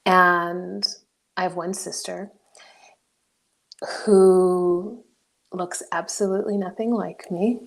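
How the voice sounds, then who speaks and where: A woman's voice: lowish voice, slow, vocal fry, pauses often. Woman in her 40s from new mexico, storytelling on a podcast call, laughs a lot, reflective. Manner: reflective, animated, laughs often. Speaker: guest coach. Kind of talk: personal storytelling in interview.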